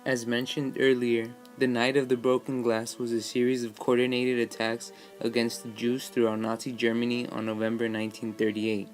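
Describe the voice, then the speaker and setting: A man narrates from a documentary script. His voice is middling in pitch and stays very even, with little melody. Faint music plays behind him. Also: moderate pace, hardly any pauses.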